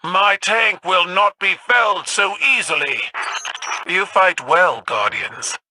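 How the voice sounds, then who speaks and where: An actress playing a proud, warlike alien empress in a video game, speaking in a formal, grandiose and commanding manner. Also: female voice, lowish voice, takes it slowly, background noise. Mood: imperious. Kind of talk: theatrical video game voice acting.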